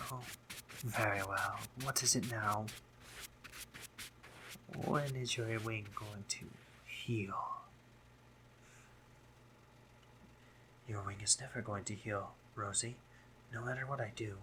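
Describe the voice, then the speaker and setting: Man acting a slow-spoken, brooding spider monster. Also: lowish voice, steady tone, background noise. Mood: grave, gentle. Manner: formal, sardonic, halting phrasing with sighs and pauses. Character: brooding, sardonic male drider monster, a guarded, caring doctor. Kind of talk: intimate roleplay audio drama monologue.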